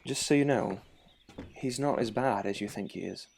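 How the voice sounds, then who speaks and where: A man's voice: lowish voice, moderate pace, gravelly, darkish tone, a little hiss or hum. A man playing a loyal, level-headed young knight and confidant in an audio drama. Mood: patient.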